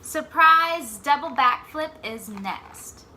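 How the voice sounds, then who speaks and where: A woman's voice: high-pitched, lively intonation, slow pace, bright, forceful tone, slight background noise, voice pushed up, heavily acted, poor audio. A woman voicing a little boy in a storybook, with an eager, slightly hesitant manner. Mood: proud, excited. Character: nervous little boy putting off a brave jump. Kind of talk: storybook character voice.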